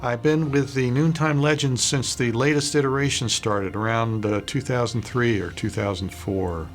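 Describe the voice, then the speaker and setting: Measured man in his sixties. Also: medium pitch, fairly even intonation, faint music behind. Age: 60s. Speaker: filmmaker, former radio station staff.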